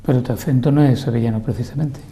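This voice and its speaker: An older man hosts a TV interview show and guides the talk in a courteous, leisurely way. His voice is very dark and mellow, set at a middle pitch. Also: fairly even intonation, fast talker. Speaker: TV program host. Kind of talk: interview host chat. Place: TV studio.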